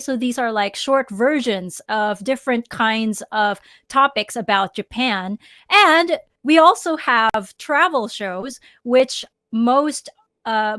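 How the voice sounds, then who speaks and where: A woman's voice: fairly high pitch, a bit bright, dry recording, studio quality. A woman from the Philippines co-hosting a live podcast, chatty and giggly. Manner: chatty, giggly, does silly sound effects. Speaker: podcast co-host. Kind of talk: casual live podcast chat.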